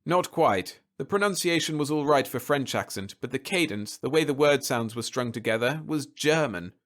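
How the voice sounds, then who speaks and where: Male narrator as assured colonel in an audiobook, analytical, exact, matter-of-fact, pitched slightly above plain narration. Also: lively intonation, moderate pace, a bit bright, lightly acted. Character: authoritative, observant military colonel.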